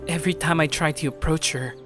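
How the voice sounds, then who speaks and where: An actor playing an anxious, earnest teenage schoolboy who narrates an anime-style story in a conversational, introspective way. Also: male voice, a little high, steady tone, fast talker, over music, close to an everyday voice. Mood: troubled, hesitant. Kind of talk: anime-style audio story, first-person scripted narration.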